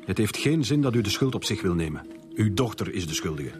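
An actor with a Flemish accent playing a firm, businesslike middle-aged police chief in a radio drama. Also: male voice, lowish voice, very animated, brisk. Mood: stern, official. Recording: music behind the voice.

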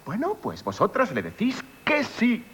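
An actor with a Castilian accent playing a rich, proud woman-hating gentleman in a radio play, imperious and stammering when flustered. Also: sits high, huge pitch swings, a little slow, slight background noise, full character voice. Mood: sly, commanding. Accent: Castilian Spanish. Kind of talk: Spanish radio theatre acting.

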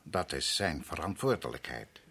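An actor playing a proud island head priest in a radio drama, courteous and measured yet stubborn, with a husky voice. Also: male voice, very deep voice, animated, fairly bright tone, exaggerated voice. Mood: evasive, deferential. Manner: measured, courteous, evasive, insistent.

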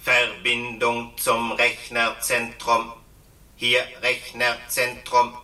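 Very bright, monotone switchboard machine. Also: mid-range voice, slow pace, far from the mic. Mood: mechanical. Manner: monotone, syllable by syllable. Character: slow toneless automated switchboard machine. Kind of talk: 1960s German radio play acting.

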